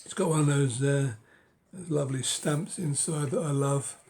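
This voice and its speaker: A middle-aged man with a cold, speaking hoarsely and haltingly in a casual show-and-tell of his books, often dropping to a whisper. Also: on the high side, darkish tone. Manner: halting, many ums and uhs, drops to a whisper. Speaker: book collector vlogger.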